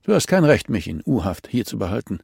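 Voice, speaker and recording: A man's voice: lowish voice, big pitch swings, brisk, gravelly, a little mellow, husky, no echo, studio quality, heavily acted. A man voicing an arrogant, cornered male murder suspect in a crime audiobook, snapping with defiant indignation.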